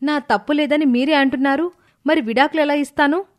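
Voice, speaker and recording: A woman's voice: high-pitched, fairly even intonation, a little fast, pitched above the performer's own voice, natural voice. A woman acting a wronged young wife in a story, emotional, earnest and resolute. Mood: shocked, defiant. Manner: earnest, protesting.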